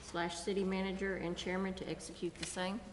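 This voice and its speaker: A woman's voice: lowish voice, level tone, brisk. A woman council member formally reading out motions in a meeting chamber. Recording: echoey chamber, faint noise.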